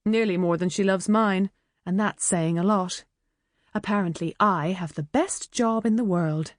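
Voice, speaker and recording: A woman's voice: medium pitch, measured pace, dry recording, studio quality. A woman with an Irish accent narrating a first-person novel in a chatty, wry way. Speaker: audiobook narrator.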